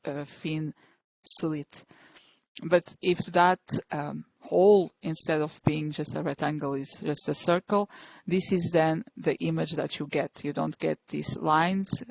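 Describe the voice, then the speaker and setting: Gravelly-edged Portuguese woman lecturing, dry sound. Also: fairly low pitch, no room sound, low-quality recording. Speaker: researcher and lecturer. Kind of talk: informal academic lecture.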